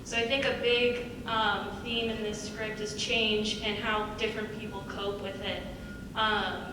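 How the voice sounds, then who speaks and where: A woman's voice: mid-range voice, flat delivery, measured pace. Woman reflecting on a panel, far from mic, noisy echoey room.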